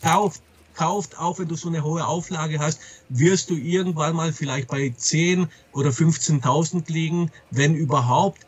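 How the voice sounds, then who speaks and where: A middle-aged man with a slightly husky voice, being interviewed and talking candidly and casually, often stopping to correct himself. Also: a little high, steady tone, a little slow. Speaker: comic publisher being interviewed. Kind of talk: casual interview talk about a trade.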